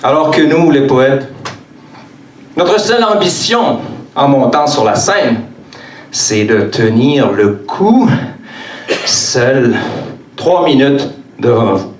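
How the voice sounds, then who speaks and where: Slow-paced Quebec man performing slam in echoey room. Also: medium pitch, expressive. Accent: Quebec French. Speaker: slam poet. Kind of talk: live spoken-word poetry performance. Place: indoor bar stage. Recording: echoey room, distant mic, faint noise.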